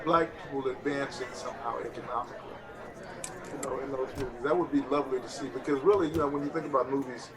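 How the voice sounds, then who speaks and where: Man chatting over clear background chatter. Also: medium pitch, steady tone, fast talker, distant mic. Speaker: discussion panelist. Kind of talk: casual group chat.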